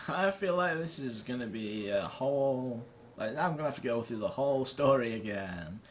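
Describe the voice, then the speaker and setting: A man's voice: medium pitch, expressive, normal speed, a little hiss or hum. A man giving casual let's play commentary, muttering sweary reactions as he plays. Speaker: gaming video host.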